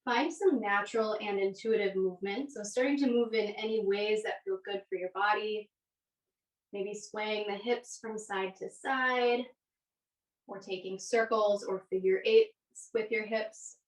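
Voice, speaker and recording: A woman leading a yoga class as the instructor, giving gentle, unhurried cues with pauses between them. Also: medium pitch, steady tone. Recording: echoey room, distant microphone.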